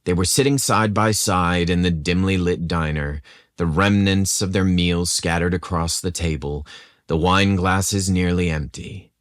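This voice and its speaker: Male podcast storyteller for romantic fiction; very low voice, unhurried, close dry sound. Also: no room sound. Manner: measured, deliberate storytelling. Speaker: podcast narrator and host. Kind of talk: scripted romantic story narration.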